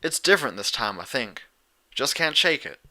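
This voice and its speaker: Man as a folksy female country pony, mid pitch, bright, cautious, plain-spoken, storybook character. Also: big pitch swings, moderate pace. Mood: unsure. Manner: folksy, hesitant, low-key.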